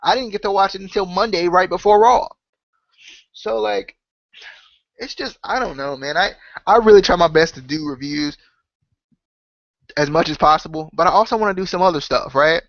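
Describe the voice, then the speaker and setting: A man's voice: high-pitched, moderate pace, long pauses, no room sound, muffled recording. Stuffed-up man rambling on a podcast. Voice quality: nasal, congested. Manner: rambling, many fillers and restarts. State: stuffed up with a cold. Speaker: podcast host. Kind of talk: casual solo chat to subscribers.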